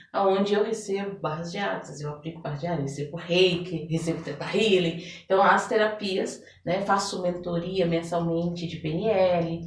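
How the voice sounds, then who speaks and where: A Brazilian woman with a fairly low voice talks in a didactic, conversational way, repeating phrases to drive them home. She sounds set back from the microphone, with clear room echo around her. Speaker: interviewed therapist and guest. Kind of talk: conversational explanatory interview talk. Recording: roomy echo, distant microphone.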